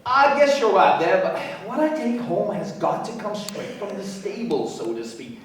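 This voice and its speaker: A man's voice: sits high, very animated, normal speed, far from the mic. An actor playing a brash, folksy American businessman in a radio play, talkative and slangy. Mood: chatty, boastful. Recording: very echoey room, off mic.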